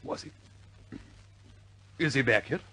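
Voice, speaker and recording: An actor playing a concerned senior air force commander in a radio war drama, reserved and halting but quietly authoritative. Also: male voice, medium pitch, breathy edge, dry recording, exaggerated voice. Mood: worried. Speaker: commanding officer.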